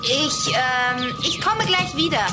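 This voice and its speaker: A lively, high-voiced plucky girl detective. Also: slow, loud music behind the voice. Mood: curious, puzzled. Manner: animated, expressive. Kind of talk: children's radio play acting.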